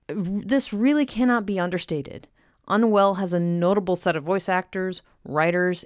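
Clear, dry-recorded woman hosting a podcast. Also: on the low side, dry recording. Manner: enthusiastic, emphatic. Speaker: podcast host. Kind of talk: podcast introduction, semi-scripted.

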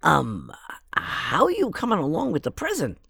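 A stern old fairy auntie who worries, in a fairytale audio play. Her voice is extremely deep for a woman and swoops expressively. She is blunt and emphatic, recorded close and dry. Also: wildly swooping pitch, normal speed, vocal fry, a bit bright, slightly rough, no room sound, full character voice. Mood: grave, resigned. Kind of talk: fairytale audio drama acting.

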